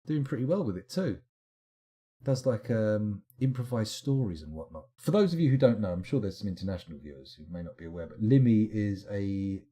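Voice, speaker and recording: A tired man, chatting casually on a late-night game stream. His voice is low and mellow, and his pitch swings around a lot as he rambles and reads out the chat. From England.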